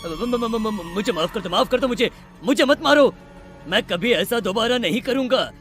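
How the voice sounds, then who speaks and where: A man's voice: high-pitched, expressive, normal speed, a bit bright, soft background music. A man playing panicked, stammering village men who wail and beg in a dramatized story. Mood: terrified. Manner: wailing, stammering. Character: panicked, whimpering village man begging helplessly.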